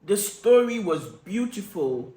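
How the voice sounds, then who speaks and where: A man with a croaky cold voice reading the letter of a hurt, guarded woman in her late forties. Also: medium pitch, very animated, slow pace, mellow, echoey room, pitched above the performer's own voice, low-quality recording. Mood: confessional. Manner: earnest, confessional reading.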